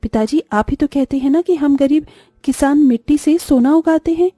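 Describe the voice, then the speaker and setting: A poor, dutiful young daughter from a moral-story cartoon, acted by a woman. She speaks earnestly and gently in a high, very mellow voice, recorded dry over music. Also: moderate pace, no echo, natural voice. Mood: indignant, pleading.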